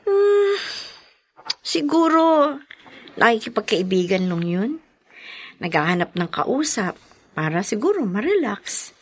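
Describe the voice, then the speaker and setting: A woman's voice: a little high, big pitch swings, slow, long pauses, heavily acted. A woman playing a modest, playful young daughter in a Filipino audio drama, with a coy manner. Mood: soft, coy.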